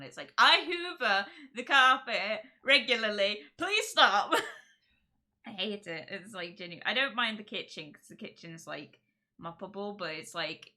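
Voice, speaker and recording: Girl streaming, chatty and giggly, really bright clear voice. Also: medium pitch. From Czech. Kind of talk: casual live-stream chat.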